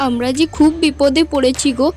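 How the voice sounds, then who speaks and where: A brave cartoon big sister played by a woman, with an extremely high, girlish voice. She is earnest and protective, imploring as she speaks, with light music underneath. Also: fairly even intonation, brisk, darkish tone, natural voice. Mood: pleading. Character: brave, pleading elder sister, a young village girl. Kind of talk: Bengali fairy-tale cartoon dialogue.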